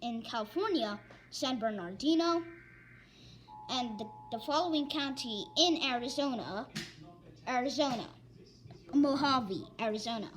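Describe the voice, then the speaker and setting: A woman reading an amateur emergency weather bulletin in a halting, stumbling way, often repeating phrases. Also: high-pitched, animated, faint music behind, rough recording. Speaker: announcer of mock emergency alerts. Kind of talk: amateur weather alert bulletin read aloud.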